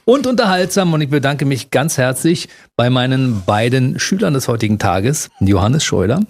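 A man hosts a radio talk show in a relaxed, conversational style. His voice is middle in pitch, with plenty of fry and a rough, husky grain. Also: moderate pace. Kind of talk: casual interview chat.

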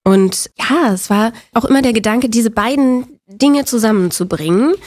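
A woman in her forties from Berlin, a guest talking openly and warmly, often with fillers and laughter. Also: a little high, moderate pace. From Berlin, Germany. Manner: open, friendly, laughs often, many fillers. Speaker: actress, guest. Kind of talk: casual interview chat.

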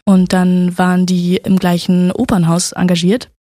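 A young woman in her twenties, an actress guest who tells her story in a relaxed, talkative way and laughs often. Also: lowish voice, moderate pace, dry recording, clean studio sound. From Switzerland, raised in Berlin. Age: 20s. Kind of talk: casual interview chat.

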